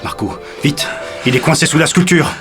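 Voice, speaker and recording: An actor playing a frantic, protective brother, urgent and colloquial, in a French audio drama. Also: male voice, a little high, big pitch swings, normal speed. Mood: frantic, alarmed. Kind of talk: French fiction podcast acting. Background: music.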